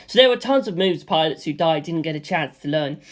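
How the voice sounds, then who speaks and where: Give this a male amateur reader working through an action novel in the first person. He tells the story in an animated way, as the hero recounting his own fight. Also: a little high, a little fast.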